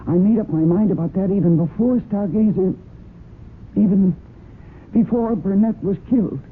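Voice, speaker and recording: A woman's voice: mid-range voice, moderate pace, phone-quality sound, lightly acted. An actress playing a strong-willed, guarded young woman protecting her horse in a radio drama, with a firm, clipped delivery. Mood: pleading, resolute. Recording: band-limited, noisy old radio broadcast.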